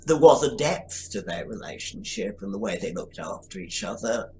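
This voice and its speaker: A man's voice: mid-range voice, fairly bright tone, a little hiss or hum, low-quality recording. A man talking reflectively as a writer interviewed on a podcast, thinking aloud with restarts. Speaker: writer of an audio drama.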